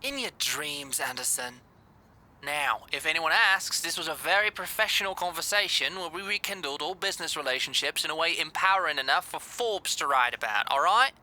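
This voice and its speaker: Woman doing a brash scolding boss lady over speakerphone, really sharp voice, grudgingly fond, radio play style. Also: sits low, expressive, brisk, heavily acted, low-quality recording. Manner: blunt, sardonic, scolding. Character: McTally, brash, foul-mouthed, grudgingly fond businesswoman. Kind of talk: scripted audio drama phone call.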